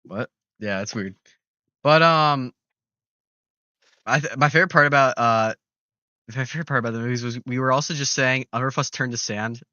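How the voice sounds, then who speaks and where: A man's voice: medium pitch, moderate pace, pauses often, pushed and bright, smooth, no echo, dull audio. A young man streaming games, chatting casually, rambling, with frequent swearing and restarts. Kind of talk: casual gaming stream chat.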